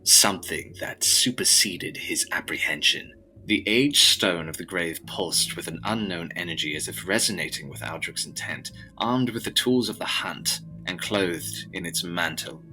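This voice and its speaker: A man narrating a scripted gothic audio drama with solemn, dramatic and deliberate phrasing. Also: low voice, pushed and bright, poor audio. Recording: music behind the voice.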